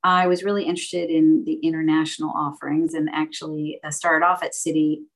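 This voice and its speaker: A woman in her fifties with a notably low voice answers interview questions about her career in banking. She speaks in a measured, methodical way and sets out her ideas as orderly lists, pausing on the occasional "um". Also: little pitch movement. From San Francisco, United States. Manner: measured and orderly, laying out points as lists with "um" pauses. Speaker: guest, banking executive and board director.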